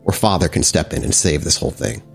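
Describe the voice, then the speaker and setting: Male game master, low voice, improvised dramatic narration with halting delivery, soft music underneath. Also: expressive, vocal fry, husky. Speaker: game master of a vampire tabletop game podcast.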